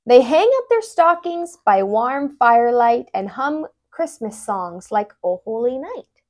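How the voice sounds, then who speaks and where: A young woman reads a children's picture book aloud in an expressive, animated way, wearing a lapel mic indoors. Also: fairly high pitch, unhurried. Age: young adult. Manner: animated, with brief asides explaining words.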